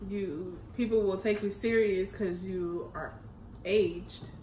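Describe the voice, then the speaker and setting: A woman in her mid-twenties from Houston co-hosting a casual Q&A while eating, relaxed, chatty and quick to laugh. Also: medium pitch. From Houston, Texas, US. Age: 20s. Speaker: YouTube co-host. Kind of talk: casual chat during a mukbang Q&A. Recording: echoey room with faint noise.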